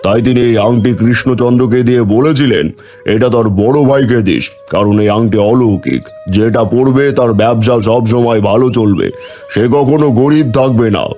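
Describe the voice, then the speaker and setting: A man's voice: medium pitch, moderate pace, warm tone, soft background music, lightly acted. A man playing an all-knowing holy sage, stern but benevolent, in a fairy-tale cartoon.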